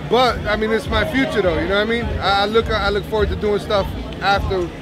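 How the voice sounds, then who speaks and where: A man's voice: sits high, brisk, over music. A man in his thirties, a bomb squad officer, chatting and explaining his gear to a crowd at an outdoor community event with many restarts. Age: 30s. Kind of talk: casual demonstration to a crowd.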